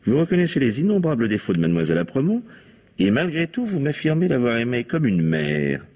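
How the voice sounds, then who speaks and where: An actor playing a sly, insistent police commissioner in a French radio mystery, probing with irony and pointedly repeating answers. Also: male voice, medium pitch, wildly swooping pitch, fairly quick, a little mellow. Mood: dry, pressing. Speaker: detective.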